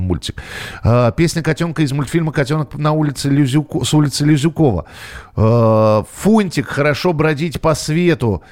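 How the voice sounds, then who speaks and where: A man's voice: medium pitch, a little mellow. A man hosting a radio show, chatting casually and reading out messages, thinking aloud as he goes.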